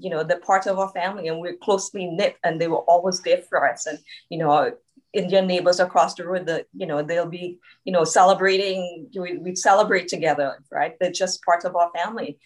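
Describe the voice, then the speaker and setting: A woman with a notably low voice and a subtle Trinidadian lilt, speaking as a coach on a podcast. She talks reflectively and keeps checking in with a quick "right?". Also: poor audio. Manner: reflective, frequent tag "right?". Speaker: coactive coach, podcast guest. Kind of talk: conversational interview.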